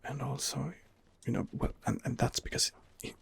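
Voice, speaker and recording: A man quietly narrating his role-play game in a breathy ASMR whisper, musing and rambling hesitantly, close to the microphone. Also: medium pitch, level tone, normal speed, a little hiss or hum. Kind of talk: ASMR gaming commentary.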